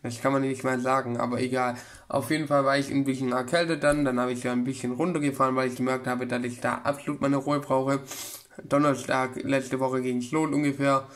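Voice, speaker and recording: Casting: young man, early twenties, webcam vlogger, flat monotone delivery, stop-start rambling full of fillers. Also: medium pitch, a little fast. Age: about 21. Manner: rambling, many fillers and restarts. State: getting over a cold. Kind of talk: casual chat. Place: small room with bookshelf, indoors. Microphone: webcam at desk, close.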